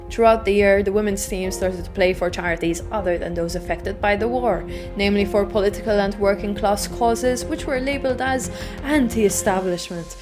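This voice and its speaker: A woman's voice: medium pitch, darkish tone. Female narrator for a scripted video essay, music bed underneath.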